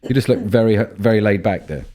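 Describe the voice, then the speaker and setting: A man co-hosting a podcast in casual chat, his deep baritone made rougher by a cold, thinking aloud with many ums and restarts. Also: brisk, warm tone. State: voice low and rough from a cold spell. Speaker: podcast co-host.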